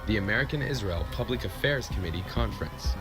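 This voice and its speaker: A man narrating a news report in a crisp, scripted reporting style. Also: lowish voice, lively intonation, quick pace. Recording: news clip with loud music bed.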